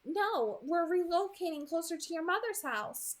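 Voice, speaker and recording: A woman voicing a composed, helpful young woman in an audiobook, brisk and matter-of-fact. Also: extremely high pitch, expressive, pitched above the performer's own voice.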